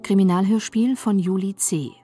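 A woman announcer reading scripted radio play credits in a measured way. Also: mid-range voice, dark tone, soft background music.

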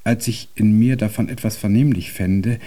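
An actor playing a deaf, cantankerous old composer in a German radio drama, speaking in an ornate, pompous and convoluted way. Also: male voice, fairly low pitch, normal speed, mellow, natural voice. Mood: brooding, intense.

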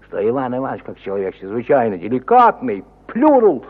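A man narrating a literary audio play in an expressive, theatrical 1950 Soviet radio style, moving between loud exclamation and hushed suspense, on an old recording. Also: medium pitch, brisk, breathy edge, phone-quality sound.

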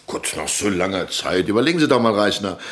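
A cocksure police commissioner in a radio crime drama. His voice sits fairly low and swings widely in pitch. He talks emphatically and with condescension, brushing off any doubt. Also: sing-song, a little fast, a bit bright. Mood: dismissive. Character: cocksure, dismissive male small-town police commissioner. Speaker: actor in a radio crime play.

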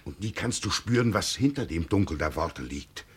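An actor playing a solemn, humble ageing labourer with grand delusions in a German radio play, speaking weightily and mysteriously in halting phrases. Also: male voice, low-pitched, expressive, husky, full character voice. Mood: mysterious, exalted. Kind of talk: 1950s German radio drama acting.

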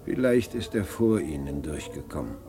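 An actor playing a devout, protective middle-aged husband in a German radio drama, measured, soothing and resigned, on a noisy old recording. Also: male voice, very deep voice, animated, over music. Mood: subdued. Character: calm, devout, protective husband and worried father.

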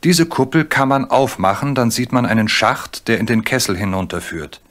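A man's voice: medium pitch, normal speed, a bit bright. A man narrating a children's radio play in German as a scripted storyteller. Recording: faintly noisy, cricket chirps behind.

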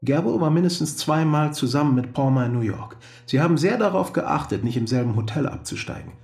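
A man's voice: fairly high pitch, lively intonation, brisk, gravelly, darkish tone. An actor playing an earnest, persuasive male friend in a radio drama.

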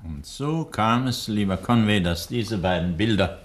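An actor in a 1960s German radio crime drama playing a middle-aged doctor, composed, firm and shrewd. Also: male voice, lowish voice, animated. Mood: measured narrating. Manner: measured, firm, deliberate. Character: composed, authoritative male doctor, shrewd and upright.

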